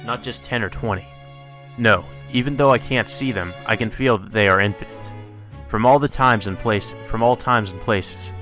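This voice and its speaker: Male visual novel narrator, low register, dramatic storytelling delivery, faint music underneath. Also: normal speed, bright, forceful tone. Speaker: let's play narrator reading a visual novel aloud. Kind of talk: dramatic read-aloud narration.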